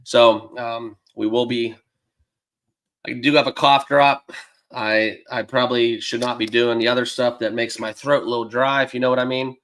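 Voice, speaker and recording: A man hosting a sports betting livestream, slightly hoarse as he loses his voice, talking loosely with many fillers and greeting viewers by name. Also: medium pitch, flat delivery, moderate pace, fairly bright tone. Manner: loose and rambling, lots of fillers. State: losing his voice, a bit hoarse. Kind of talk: casual livestream chat. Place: dedicated home studio room.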